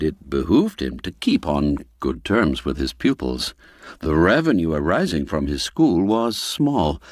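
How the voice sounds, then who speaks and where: A man narrating a classic literary story as an audiobook, in a measured, expressive and formal storytelling manner. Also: lowish voice, no echo, studio quality.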